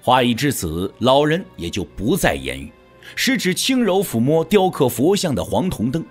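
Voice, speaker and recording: A male narrator tells a dramatic story as in an audio drama. His mid-pitched voice moves in lively, animated swings, with soft music underneath. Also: moderate pace, breathy edge.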